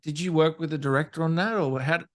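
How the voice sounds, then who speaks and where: A man's voice: on the high side, fast talker, fairly bright tone, dry recording, clean studio sound. A man hosting an interview in a casual, chatty style, putting questions to his guest. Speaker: interview host.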